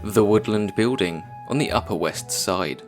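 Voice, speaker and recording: Man as a low-voiced formal narrator. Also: normal speed, faint music behind, close to an everyday voice. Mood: formal, announcing. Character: formal scene-setting male narrator. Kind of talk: scripted scene-setting narration.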